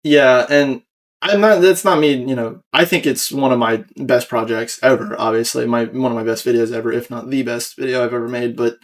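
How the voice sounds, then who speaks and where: A man's voice: mid-range voice, fairly even intonation, fairly quick. A young American man hosting a casual podcast, explaining his own creative work in a rambling, self-critical way. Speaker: podcast host and video creator.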